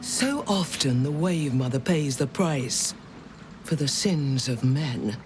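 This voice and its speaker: Game priestess, grave and slow, pitch swings widely. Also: lively intonation, noisy background. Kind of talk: video game voice acting.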